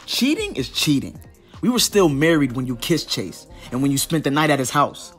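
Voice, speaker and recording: A man's voice: fairly high pitch, big pitch swings, moderate pace, fairly bright tone, breathy edge, faint music behind, full character voice. A man playing a bitter, profane and confrontational ex-husband in a modern audio drama. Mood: angry, accusing.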